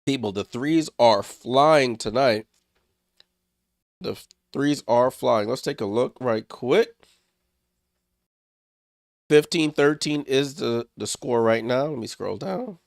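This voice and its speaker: Gravelly man calling plays, under the weather. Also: medium pitch, animated, takes it slowly, long pauses, dry recording, studio quality. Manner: short clipped play calls with chatty asides to viewers. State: under the weather, a bit congested. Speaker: watchalong stream host. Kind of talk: casual live play-by-play commentary.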